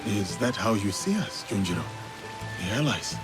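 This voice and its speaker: A man playing a calm, honourable samurai warrior in a video game, speaking in a measured, formal and gentle way. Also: fairly low pitch, over music, lightly acted. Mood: gentle, reflective. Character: calm, grave, honourable male samurai warrior, mentor to a boy. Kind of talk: video game cutscene acting.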